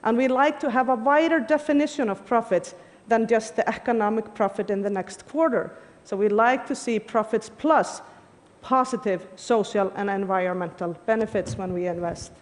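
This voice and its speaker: A woman's voice: fairly high pitch, moderate pace. A woman, giving an earnest, emphatic prepared talk on stage. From Iceland. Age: 40s. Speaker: conference speaker. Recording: long hall reverb.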